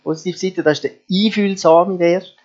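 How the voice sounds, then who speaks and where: A man's voice: high voice, steady tone, moderate pace, darkish tone. A man preaching a sermon earnestly, asking his listeners rhetorical questions. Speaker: pastor. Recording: dull lo-fi sound with mains hum.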